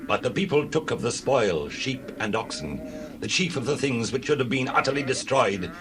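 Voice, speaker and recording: A man's voice: medium pitch, animated, normal speed, noisy background, close to an everyday voice. A man playing a proud but self-excusing king in a dramatized scripture reading, earnest and formal. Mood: self-justifying.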